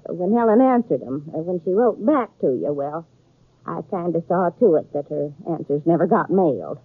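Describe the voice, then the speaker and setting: A woman playing a sheepish, meddling American frontier matchmaker in a 1950s radio western, folksy and halting. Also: lowish voice, expressive, husky, no echo. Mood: sheepish confessing. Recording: tinny old 1950s radio recording.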